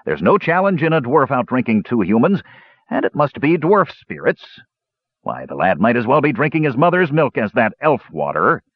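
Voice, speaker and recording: A man voicing a boastful, jovial, hard-drinking bearded dwarf in a fantasy audiobook, with loud roaring speech. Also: mid-range voice, big pitch swings, moderate pace, breathy edge, lo-fi sound, voice pushed up. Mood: goading.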